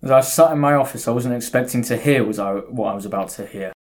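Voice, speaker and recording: A man's voice: mid-range voice, fast talker, lightly acted. A man playing a stern, formal railway station boss in an audio drama. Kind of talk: community audio drama acting. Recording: noticeable background ambience noise.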